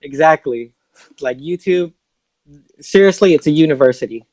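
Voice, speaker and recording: A man's voice: fairly high pitch, animated, moderate pace, darkish tone, dry recording. A man from the Bay Area, a music producer being interviewed in a casual podcast chat. He talks in a laid-back, hesitant way with lots of fillers and restarts. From San Francisco Bay Area.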